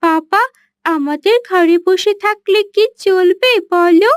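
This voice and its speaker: A woman's voice: very high-pitched, slow pace, no room sound, clean studio sound, faint music behind. A woman voicing a kind, worried young daughter in a cartoon, speaking earnestly. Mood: earnest concern. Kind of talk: cartoon voice acting.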